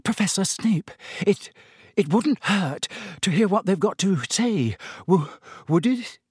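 A man's voice: high voice, expressive, takes it slowly, darkish tone, breathy edge, no room sound, studio quality, voice pushed up, full character voice. A man voicing a timid teenage schoolgirl, breathless, hesitant and stammering, in an audiobook. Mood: nervous.

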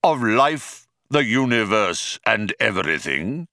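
A man voicing a patient, mild supercomputer in an audiobook, speaking in a measured, grandly declamatory manner. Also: lowish voice, sing-song, bright, forceful tone, dry recording, clean studio sound, full character voice. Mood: pondering, firm.

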